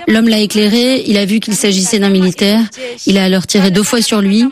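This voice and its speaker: A woman's voice: medium pitch, fairly even intonation, fairly quick. A woman reading a translated eyewitness account as a voice-over.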